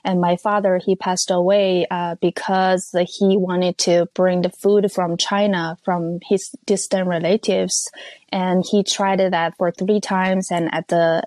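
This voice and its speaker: Low-voiced woman with a North Korean accent. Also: level tone, a little mellow, no echo. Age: 30s. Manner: measured, careful, with frequent pauses and fillers. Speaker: guest. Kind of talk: personal testimony interview. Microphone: phone call.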